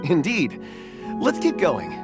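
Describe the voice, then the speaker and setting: Mild, thoughtful, expressive game investigator. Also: male voice, on the high side, slow, loud music behind the voice, exaggerated voice. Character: mild, thoughtful, hesitant mineral-reading investigator. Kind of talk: video game character acting.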